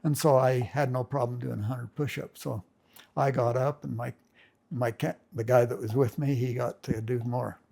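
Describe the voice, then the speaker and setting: A man's voice: mid-range voice, fairly even intonation, moderate pace, vocal fry, mellow. An older man casually reminiscing as a family storyteller, rambling with folksy grammar and many restarts.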